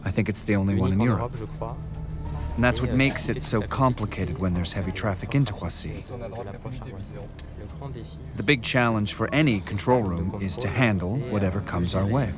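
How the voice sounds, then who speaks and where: A man with a low-pitched voice delivers a documentary interview voice-over. He explains in the first person, with music clearly playing behind him. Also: normal speed, pauses often.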